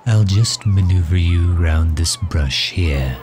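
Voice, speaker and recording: A deep-voiced villain, acted by a man, speaks slowly over prominent music in an intimate ASMR roleplay. He is courtly and protective, fussing over the injured hero with gentle formality. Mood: proud, expansive. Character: doting, overprotective male villain caring for injured hero. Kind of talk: ASMR roleplay audio drama.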